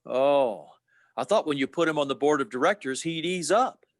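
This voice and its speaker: Radio play: man as restless young dreamer, earnest, high-pitched, very animated. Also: moderate pace, dry recording, studio quality. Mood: breezy. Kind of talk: old-time radio play acting.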